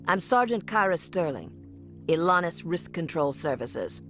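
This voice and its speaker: An actress playing a hostile, hard-nosed mercenary sergeant in a video game, confrontational and scornful. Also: female voice, lowish voice, very animated, measured pace, a bit bright, soft background music. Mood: menacing, scornful. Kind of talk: scripted video game dialogue.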